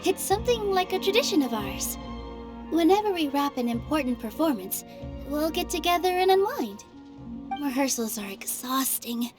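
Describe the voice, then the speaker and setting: A gentle young theater dancer with a fairly high, soft-spoken voice. She is polite, modest and a little apologetic. Faint game music plays underneath. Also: very animated, moderate pace. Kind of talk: video game voice acting.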